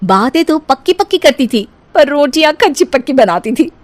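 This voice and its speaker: A woman's voice: high-pitched, expressive, moderate pace. A woman narrating a scripted comic cartoon story as its storyteller.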